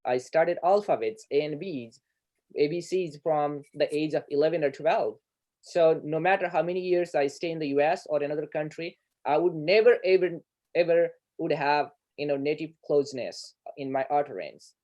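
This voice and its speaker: Nepali-accented man, mellow earnest lecturer. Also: a little high, normal speed. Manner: earnest, repeats words, asks "right?". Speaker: guest speaker, educator. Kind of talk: online lecture Q&A.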